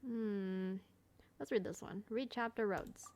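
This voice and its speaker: A woman gaming creator giving casual playthrough commentary and reading on-screen text aloud, with a creaky voice. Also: mid-range voice, fairly even intonation, slow pace, darkish tone.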